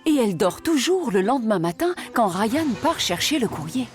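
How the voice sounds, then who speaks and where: A woman with a fairly high voice narrates a children's story. She is expressive and scripted, with music playing behind her. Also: normal speed.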